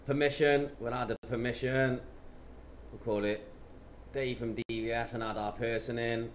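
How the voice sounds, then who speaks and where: A man's voice: mid-range voice, steady tone, moderate pace. Man presenting, noticeable background noise. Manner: matter-of-fact, talking through steps as he clicks. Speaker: presenter of product tutorials. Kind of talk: informal software demonstration. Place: indoor office.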